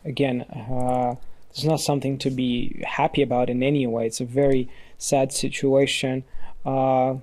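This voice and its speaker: A man from Ukraine, a company CEO, answering questions as a podcast guest in relaxed, reflective talk with many fillers and restarts. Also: mid-range voice, measured pace.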